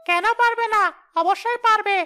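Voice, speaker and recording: A woman's voice: very high-pitched, fairly even intonation, normal speed, pushed and bright, soft background music. A woman voicing a meek, hungry tree-ghost husband in a cartoon, coaxing and apologetic. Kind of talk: cartoon ghost-story dialogue.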